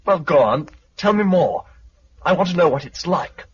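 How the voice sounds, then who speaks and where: An actor with a British accent playing an eager, curious, slightly anxious survivor in a 1950s radio drama. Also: male voice, a little high, animated, moderate pace, close to an everyday voice. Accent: British English. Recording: muffled, noisy 1950s radio recording.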